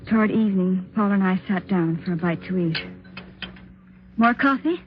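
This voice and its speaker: An actress playing an anxious young wife in a 1950s radio suspense drama, speaking in a tense, hesitant, dramatic way. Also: female voice, medium pitch, normal speed, natural voice. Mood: uneasy. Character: anxious young wife narrating her frightening mystery. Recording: dull vintage radio, music underneath.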